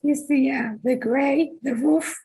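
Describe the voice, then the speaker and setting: A hoarse woman asking questions as a board member at an informal review meeting, in a halting, polite manner. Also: high-pitched, dark tone.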